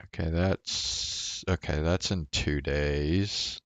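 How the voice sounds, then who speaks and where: Slow, low-voiced man streaming games. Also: fairly even intonation, dry recording. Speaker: game livestreamer. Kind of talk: casual live gaming commentary. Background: game music behind voice.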